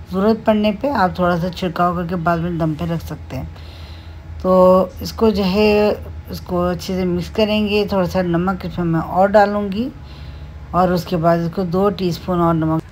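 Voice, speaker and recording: A woman with a Pakistani Urdu accent walks viewers through a home recipe, her voice low and mellow. She talks in a relaxed, homely way, padding her steps with fillers. A faint background hiss sits under the close voice-over. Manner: unhurried, homely explaining with many fillers. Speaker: cooking channel host. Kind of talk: home cooking tutorial voice-over. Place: home kitchen.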